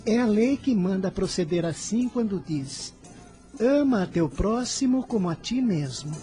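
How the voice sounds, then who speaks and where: Woman as an earnest boy with a mellow voice. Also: medium pitch, measured pace, natural voice. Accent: Brazilian. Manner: solemn, caring, expressive. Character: earnest, kind, pious boy apostle, gently protective. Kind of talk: radio drama acting. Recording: music under the voice.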